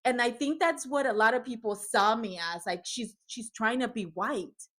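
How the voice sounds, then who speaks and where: A woman who grew up in California hosts a relaxed podcast conversation. Her fairly high voice comes with frequent laughter, and she slips between English and Spanish as she talks. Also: normal speed. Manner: chatty, laughs often, switches into Spanish. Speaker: host. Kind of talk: casual podcast chat.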